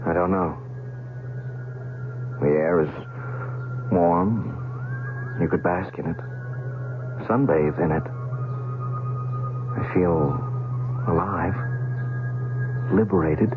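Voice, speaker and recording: A man's voice: deep voice, slow pace, pauses often, music in the background. An actor playing a sensitive young man in love in an old time radio drama. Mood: awed, wondering. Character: young man in love, sensitive, dreamy, hard-boiled front. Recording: tinny, noisy old radio recording.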